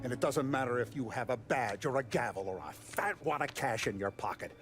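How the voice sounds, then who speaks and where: A man's voice: medium pitch, animated, fairly quick, lightly acted. Male actor: authoritative mob boss, menacing and proud; game cutscene, music behind. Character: commanding male crime boss, ruthless and proud. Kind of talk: video game cutscene acting.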